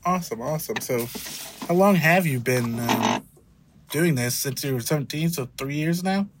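A man hosting a podcast interview, upbeat and quick with short affirmations. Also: a little high, noisy background. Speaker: podcast host. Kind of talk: casual interview.

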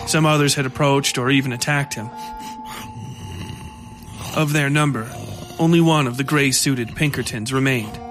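A man's voice: mid-range voice, moderate pace, pauses often, noisy background. A man reading scripted horror fiction as narrator, with music playing under his voice.

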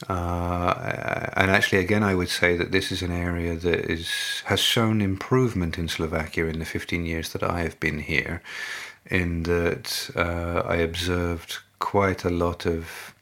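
A middle-aged man, a native English speaker, answering interview questions in a measured, halting way with many fillers and restarts. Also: low-pitched, flat delivery, a bit bright. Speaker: company representative, interviewee.

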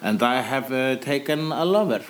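A man co-hosting a casual comedy podcast, talking haltingly with many fillers and a dry sense of humor. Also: mid-range voice, animated. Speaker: podcast co-host and stand-up comedian.

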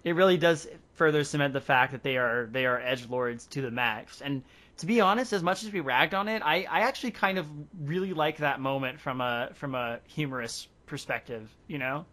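Podcast host, male, bright and forward tone, loose rambling banter. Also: medium pitch, fairly quick. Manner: rambling, laughs often. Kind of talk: casual chat.

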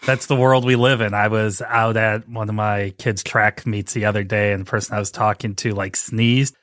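A man's voice: fairly low pitch, flat delivery, normal speed, a bit bright, dry recording, clean studio sound. A man in his forties hosting a podcast, telling a long story in a chatty, rambling way with restarts. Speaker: podcast host. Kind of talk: casual podcast chat.

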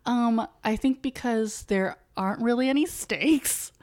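Casual American woman in her thirties, animated storyteller. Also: on the high side, a little slow. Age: 30s. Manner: animated storytelling with mock quoted voices and swearing. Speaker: podcast co-host. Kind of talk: casual chat about films and sports.

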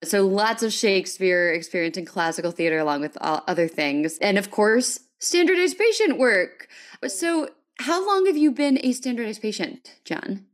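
A woman's voice: mid-range voice, very animated, moderate pace. Woman hosting a conversational podcast. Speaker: podcast host and interviewer.

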